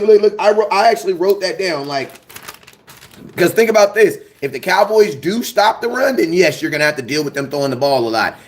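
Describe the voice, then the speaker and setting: A young man hosts a casual fan show in an easygoing, excitable way. His voice sits fairly high for a man. He talks in slang and repeats phrases when he gets worked up. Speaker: sports fan show host. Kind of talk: casual live-stream chat.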